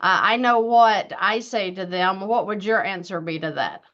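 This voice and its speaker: A woman's voice: mid-range voice, moderate pace, a bit bright. Upbeat woman in her fifties, dull sound. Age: 50s. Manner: chatty and upbeat, with restarts. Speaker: host. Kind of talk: casual interview chat.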